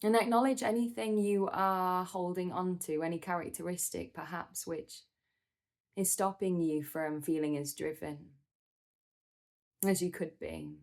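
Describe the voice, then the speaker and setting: A woman leading a guided meditation in a soothing, gentle manner, with measured instructions and pauses. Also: fairly low pitch, creaky.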